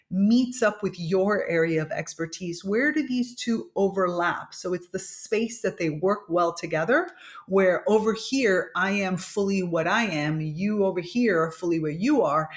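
A woman from Miami hosting a solo podcast, explaining ideas in a thoughtful, unhurried way with frequent fillers and "right?" asides. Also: lowish voice. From United States (Miami). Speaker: podcast host. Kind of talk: reflective solo podcast talk.

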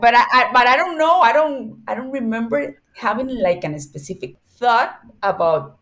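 A woman's voice: fairly high pitch, lively intonation, normal speed, a bit bright. A woman, chatting cheerfully as a podcast guest. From Costa Rica. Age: 40s. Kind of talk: casual interview chat.

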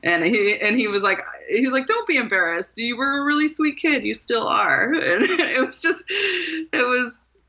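A woman from Maryland, a guest author, telling stories in an animated way and laughing often. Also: on the high side, moderate pace. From Maryland, USA. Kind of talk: conversational interview. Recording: muffled lo-fi sound.

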